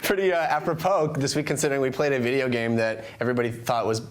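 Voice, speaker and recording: A man co-hosting a podcast, asking questions and pushing back in a measured way. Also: mid-range voice, fairly even intonation. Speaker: co-host. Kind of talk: casual debate on a podcast.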